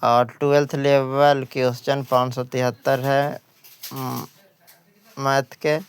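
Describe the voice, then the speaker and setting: Male exam-prep teacher, Indian accent. Very clean, resonant voice, flat intonation, halting read with repeated phrases. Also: medium pitch, clean tone. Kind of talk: exam-prep lesson, reading out questions and answers.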